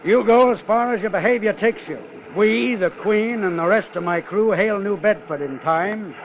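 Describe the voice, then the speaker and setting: An actor playing a stern, shrewd whaling captain, gruff and curt, in 1950s radio drama style. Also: male voice, sits high, normal speed. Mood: stern, warning. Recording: muffled old radio recording.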